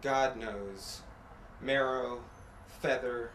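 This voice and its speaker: A man reads poetry at a slow, deliberate pace, setting short phrases apart with pauses. His voice sits at a middle pitch. The room is echoey, with faint noise behind him. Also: steady tone, a bit bright. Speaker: poet reading own work. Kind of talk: poetry reading. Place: apartment room at a live reading.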